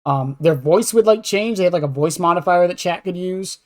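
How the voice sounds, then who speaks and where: A man's voice: high voice, fairly quick, darkish tone. Self-deprecating man rambling in podcast chat. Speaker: streamer and podcaster.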